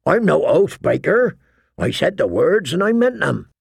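A man's voice: high voice, big pitch swings, a little slow, vocal fry, breathy edge, no echo, studio quality, pitched above the performer's own voice, exaggerated voice. Man as a stubborn, dutiful young recruit. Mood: indignant. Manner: insistent, firm.

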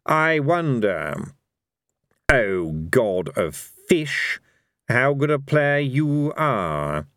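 Storybook role: man plays smug deity, unhurried and menacing, every word drawn out with condescension. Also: medium pitch, sing-song, fairly bright tone, dry recording, studio quality, voice pushed up, exaggerated voice. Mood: silky menace. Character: Fate, smug menacing god of gambling.

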